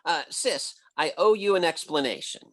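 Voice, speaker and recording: A man playing a blustering but soft-hearted husband in an old-time radio comedy, folksy, excitable and bumbling. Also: fairly high pitch, moderate pace. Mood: sheepish.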